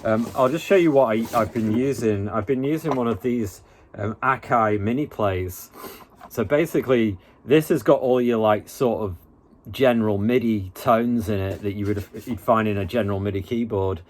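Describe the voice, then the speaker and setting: A man presents a relaxed, informal tutorial in a fairly low voice. He talks colloquially and haltingly, feeling out his explanation as he demonstrates. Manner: laid-back, halting, colloquial. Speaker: tutorial host.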